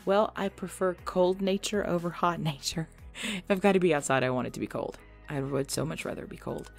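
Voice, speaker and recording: A woman's voice: on the low side, brisk, creaky. A young adult woman answering listener questions in a casual, chatty, self-deprecating way. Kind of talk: casual Q&A chat. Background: background music.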